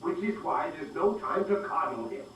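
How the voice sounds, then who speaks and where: A man's voice: a little high, lively intonation, normal speed, fairly bright tone. Echoing, distant, condescending male boss. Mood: irritated, condescending. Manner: pointed, condescending. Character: condescending, demanding male boss scientist, cold and domineering. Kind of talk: video game cutscene acting. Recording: very echoey, distant, faint noise.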